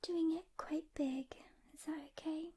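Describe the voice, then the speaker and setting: A woman speaking in a hushed, gentle ASMR whisper, unhurried, playing a calm demon hunter who talks directly to the listener. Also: high voice. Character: calm experienced female demon hunter briefing a newcomer. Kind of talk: soft-spoken whispered ASMR roleplay.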